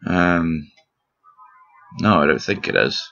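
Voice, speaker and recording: A man casually commentating on a puzzle game as he plays, rambling and poking fun at himself, his voice croaky today. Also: low-pitched, lively intonation, slow pace, pushed and bright. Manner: rambling, self-deprecating, chuckles often. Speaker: gameplay commentator. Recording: slightly dull lo-fi recording with game music behind.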